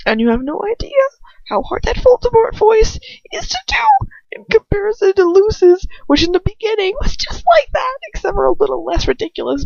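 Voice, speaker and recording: A woman's voice: extremely high pitch, vocal fry, darkish tone, no echo, lo-fi sound. A young woman reading a story aloud at home, breaking into goofy, slangy, giggly asides. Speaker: amateur fan fiction reader. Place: inside a house.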